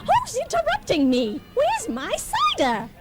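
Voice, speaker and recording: A woman's voice: very high-pitched, wildly swooping pitch, unhurried, very noisy, heavily acted. A woman playing a tipsy, huffy society lady, fluttery and indignant, in an old radio comedy. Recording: old radio play on analogue tape.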